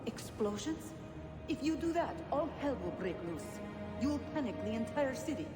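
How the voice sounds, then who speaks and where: Animated, echoing rebel woman. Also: high voice, normal speed. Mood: alarmed. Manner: urgent, questioning. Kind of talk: dramatic video game acting. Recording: echoey long reverb, loud music.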